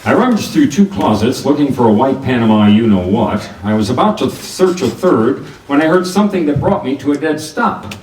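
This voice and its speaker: An actor playing a wisecracking, tough private detective in a 1940s-style radio drama, with wry, clipped delivery. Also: male voice, medium pitch, sing-song, a little fast, few pauses, darkish tone, lightly acted. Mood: dry narration. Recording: roomy, distant mic with clear background noise.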